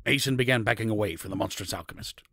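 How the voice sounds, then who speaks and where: A man with a husky, gravelly voice narrating a scripted fantasy story. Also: fairly low pitch, fast, bright, forceful tone, no room sound, clean studio sound.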